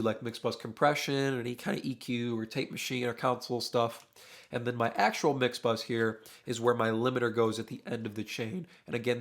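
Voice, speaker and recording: A young man, a mixing engineer, talking through a studio tutorial in a casual, thinking-aloud way, his voice a little worn. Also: medium pitch. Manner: relaxed, thinking aloud with many uhs while working. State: losing his voice. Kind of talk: casual screen-recorded tutorial. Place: home studio with drums behind.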